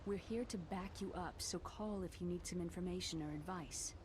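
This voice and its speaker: An actress playing a composed female military doctor in a video game, measured, clinical and coolly teasing. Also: fairly low pitch, noisy background, lightly acted.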